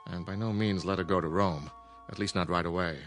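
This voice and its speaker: An actor playing an authoritative old small-town doctor in a radio drama, measured and cautious. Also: male voice, low-pitched, steady tone, a bit bright, soft background music. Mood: grave, cautious. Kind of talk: modern radio drama acting.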